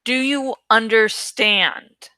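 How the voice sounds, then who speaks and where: Scolding teen girl played by a female reader, stern and caring, storybook drama, measured and crisp. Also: fairly high pitch, pushed and bright, no room sound, heavily acted.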